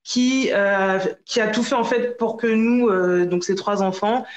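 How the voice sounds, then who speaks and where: A woman's voice: medium pitch, unhurried. A woman giving casual interview answers, often searching for words and repeating herself. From France, Paris region. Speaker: podcast guest. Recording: echoey, dull lo-fi sound.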